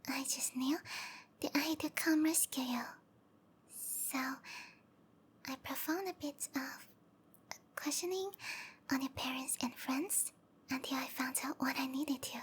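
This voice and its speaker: High, whispery, clingy snake girl, acted by a woman. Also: takes it slowly, long pauses, slight background noise. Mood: clingy, pleading, then softly menacing. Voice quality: breathy, whispery. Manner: cooing, tender, possessive. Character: sweet, clingy, obsessive female snake-girl lover, softly menacing. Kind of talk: intimate ASMR roleplay, whispered.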